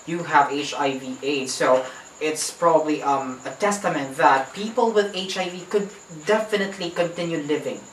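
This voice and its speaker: A man's voice: on the high side, normal speed, fairly bright tone. A man with a Filipino accent hosting a talk-show interview, phrasing things carefully and with some hesitation. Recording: echoey room, distant mic, faint background noise.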